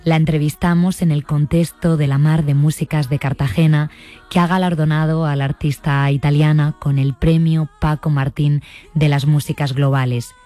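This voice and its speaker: A woman hosting a radio program, introducing her guest and asking questions in a calm, measured way. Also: low-pitched, soft background music. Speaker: radio host. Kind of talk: radio interview.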